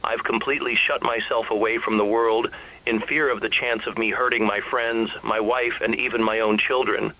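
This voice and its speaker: A man plays a lowish-voiced, worn-out survivor recording a last confession in an analog horror story. His delivery is halting and brooding, resigned to the worst, with a tense, forward edge to the tone. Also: steady tone, bright, forceful tone, poor audio. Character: weary, despairing man isolated by a sleepless epidemic.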